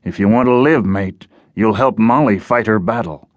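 Man voicing a gruff, menacing giant outcast. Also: fairly low pitch, no echo, lightly acted. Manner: gruff, nautical, unhurried commands. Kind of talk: audiobook character voice.

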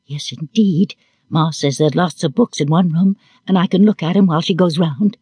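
A sweet, doting little girl with a commanding streak acted out by a man reading a storybook. The voice is pitched up and faintly breathy, recorded close and dry. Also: normal speed, a little mellow, dry recording. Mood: keen.

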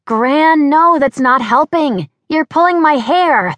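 A woman doing a high, bubbly young American girl. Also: lively intonation, slow pace, fairly bright tone, no echo, heavily acted. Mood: flustered. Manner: chatty, rambling, breathless.

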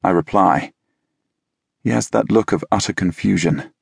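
Low husky guy narrating an audiobook, dry and deadpan, super close dry studio sound. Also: normal speed, no echo. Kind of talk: first-person scripted narration. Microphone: close studio mic.

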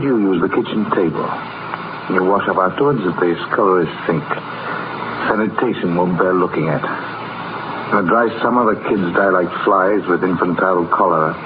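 A muffled, noisy 1940s radio drama recording of a man acting a cynical small-town doctor. He is blunt and sardonic, a weary drinker with spirit left, and speaks in a lowish voice. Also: close to an everyday voice.

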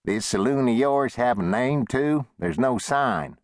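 A man's voice: mid-range voice, expressive, a little slow, no echo, clean studio sound, voice pushed up, lightly acted. A man voicing a terse, sardonic drifter cowboy with laconic frontier talk in a western audiobook.

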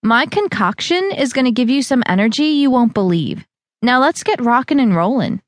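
A woman voicing a pushy, upbeat young female fitness trainer who coaxes loudly. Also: a little high, animated, normal speed, dry recording, clean studio sound, pitched above the performer's own voice, close to an everyday voice. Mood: bossy. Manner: pushy, cheerful, loud coaxing.

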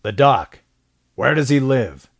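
A man's voice: lowish voice, sing-song, a little slow, dry recording, studio quality, heavily acted. Man voicing a terse, laconic western cowboy. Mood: urgent. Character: terse, decent cowboy newcomer. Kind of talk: western audiobook character acting.

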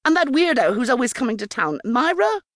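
A woman's voice: high-pitched, sing-song, brisk, no echo, clean studio sound, pitched above the performer's own voice. A woman voicing a wily, coarse, mean-tempered old hag in a storybook, brash and scornful. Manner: brash, scornful, talks with mouth full.

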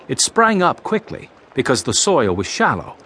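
An actor playing an impassioned male teacher preaching parables in a dramatized audio Bible, with declamatory, deliberate phrasing. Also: medium pitch, wildly swooping pitch, bright, forceful tone, slight background noise. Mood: proclaiming, storytelling.

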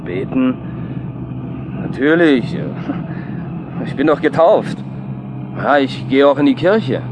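Chatty taxi driver over car noise. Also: male voice, medium pitch, big pitch swings, slow pace. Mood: breezy, slightly defensive. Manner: breezy, colloquial. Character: chatty, cheerful, superstitious male taxi driver. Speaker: actor in an audio drama. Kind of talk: radio play dialogue. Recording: noisy, with car and traffic sounds. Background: car engine and street ambience.

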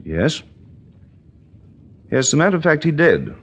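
A man's voice: fairly high pitch, very animated, unhurried, slightly rough, full character voice. An actor playing an earnest, emphatic British chief inspector, deferential to his superior, in a 1940s radio drama. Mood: recounting. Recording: dull, muffled 1940s radio recording.

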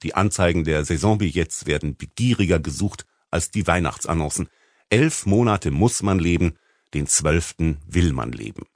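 Deep-voiced man narrating with expressive range. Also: no echo, studio quality. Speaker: audiobook narrator. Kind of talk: scripted literary narration.

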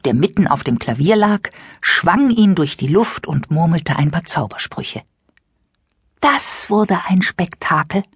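A woman narrating a scripted children's audiobook with expressive, careful storytelling. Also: fairly low pitch, breathy edge, no room sound, studio quality. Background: musical instruments and music.

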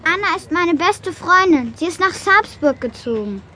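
A woman's voice: extremely high pitch, lively intonation, moderate pace, noisy background. An actress playing a well-behaved, curious young schoolgirl in a children's radio play, speaking in an earnest, friendly way.

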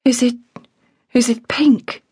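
Woman reading as a high-voiced defiant young girl, urgent and pleading, audiobook read, dry close sound. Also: expressive, normal speed, no echo. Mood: frightened. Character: spirited thirteen-year-old working girl, defiant.

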